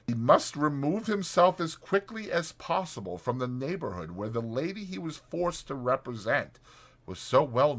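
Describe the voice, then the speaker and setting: A man narrating an audiobook as a dramatized story, in a theatrical, expressive manner. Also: mid-range voice.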